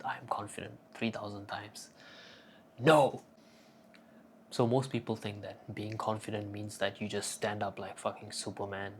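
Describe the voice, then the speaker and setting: A man's voice: on the low side, a little hiss or hum. A young man giving casual self-improvement advice in a cocky, profane, joking manner. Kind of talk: casual crude advice monologue.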